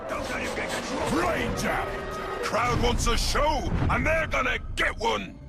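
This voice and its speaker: A man acting as a brutish, bloodthirsty orc warrior in a video game, taunting and gloating theatrically in a gravelly voice. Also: extremely high pitch, a little slow, heavily acted. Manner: taunting, theatrical shouting and snarling. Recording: loud music over the voice.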